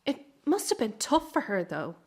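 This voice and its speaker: A woman's voice: a little high, wildly swooping pitch, normal speed, breathy edge, full character voice. A woman acting a young Irish circus granddaughter in a radio drama, caring but blunt with her family. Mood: warm, prompting.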